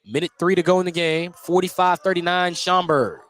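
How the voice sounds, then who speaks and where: A man's voice: sits high, fairly even intonation, fairly quick, a bit bright. An American man doing live play-by-play basketball commentary on the radio.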